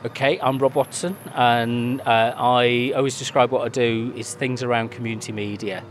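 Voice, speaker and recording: A man's voice: mid-range voice, fairly even intonation, moderate pace, a little hiss or hum. A man talks about community media at an outdoor event. He is chatty and hesitant, with many fillers and restarts. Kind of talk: casual interview answers.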